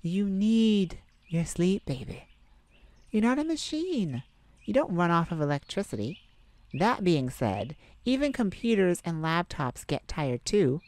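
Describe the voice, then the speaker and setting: A woman voicing a sweet, caring boyfriend in an intimate ASMR roleplay, tender, gently teasing and coaxing. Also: on the low side, very animated, a little slow, no echo. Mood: gently concerned. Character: sweet, caring young boyfriend comforting his partner.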